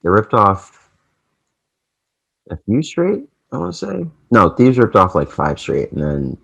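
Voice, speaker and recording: A man's voice: lowish voice, huge pitch swings, unhurried, gravelly, a bit bright, slightly rough. A young man hosting a casual esports recap vlog, chatty and rambling with lots of fillers, his voice a little off from allergies. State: voice affected by allergies. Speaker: esports channel host.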